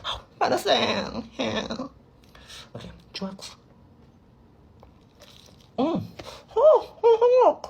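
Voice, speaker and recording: A man's voice: very high voice, wildly swooping pitch, extremely slow, creaky, long pauses, a little mellow, highs cut off. A man with an Indonesian accent hosting a casual mukbang, playful and exclamatory as he talks. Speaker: mukbang host. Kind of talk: casual mukbang vlog narration.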